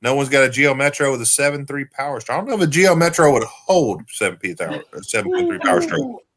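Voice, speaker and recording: A man's voice: medium pitch, wildly swooping pitch, quick pace, a bit bright. A man in his thirties from Arkansas with a country Southern accent, hosting a casual live stream chat in a folksy, teasing way. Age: 30s. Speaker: livestream host.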